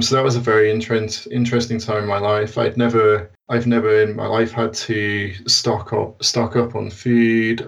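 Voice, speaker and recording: A British man answering as an interview guest in a hesitant, measured conversational way. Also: lowish voice, gravelly, far from the mic, dull audio. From United Kingdom. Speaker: guest, science blogger.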